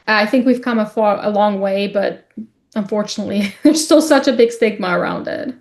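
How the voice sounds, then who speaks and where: A young woman, a podcast guest talking in an open, casual way and pausing often with ums and likes. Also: mid-range voice, darkish tone. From South Africa. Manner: hesitant, many ums and likes. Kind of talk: casual conversation.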